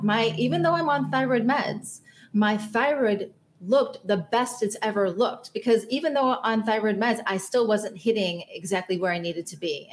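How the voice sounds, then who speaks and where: A middle-aged woman telling her story as a podcast guest, chatty and quick to laugh. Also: mid-range voice, a little hiss or hum. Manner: chatty, laughs often, repeats words when starting. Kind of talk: casual interview storytelling.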